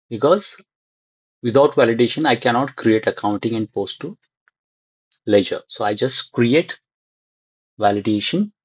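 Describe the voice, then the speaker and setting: A man giving an unscripted screen-share software tutorial, thinking aloud in short, halting fragments. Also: medium pitch, husky, no echo, muffled recording.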